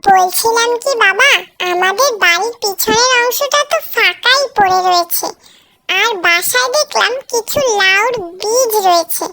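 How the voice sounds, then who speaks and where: A woman's voice: very high-pitched, a little slow, pushed and bright, a little hiss or hum, full character voice. A woman voicing a clever, polite young village boy in a moral cartoon, eager to help. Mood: eager, hopeful. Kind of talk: cartoon voice acting, moral tale.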